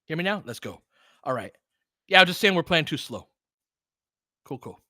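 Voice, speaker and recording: A man's voice: a little high, sing-song, moderate pace, bright, forceful tone, no echo, studio quality. A man doing casual live basketball play-by-play as a stream host, speaking conversationally in short, clipped phrases. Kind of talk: casual live basketball commentary with fan chat.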